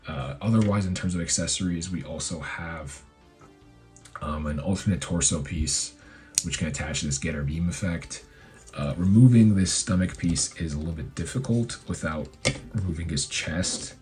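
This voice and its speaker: A man with a hoarse voice reviewing a collectible figure in a casual, rambling way, full of fillers and self-corrections. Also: deep voice, normal speed, a little mellow, soft background music. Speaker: toy reviewer.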